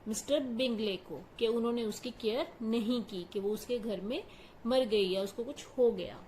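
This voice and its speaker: A woman's voice: fairly high pitch, moderate pace, darkish tone, a little hiss or hum, poor audio. A woman teacher patiently explaining a story line by line, glossing each phrase in simple words. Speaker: English teacher.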